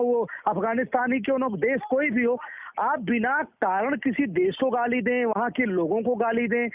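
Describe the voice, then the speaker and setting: A man's voice: extremely high pitch, a little hiss or hum. A man from Uttar Pradesh answering interview questions over the phone, emphatic and repeating his words and phrases for weight. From Uttar Pradesh, India. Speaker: interview guest, performing artist. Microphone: phone call. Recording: thin, muffled phone line.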